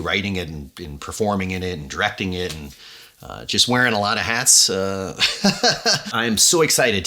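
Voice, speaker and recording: A man speaking casually and effusively as an audio drama director, halting as he finds his words. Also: low-pitched, bright, forceful tone. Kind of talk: casual, unscripted behind-the-scenes talk.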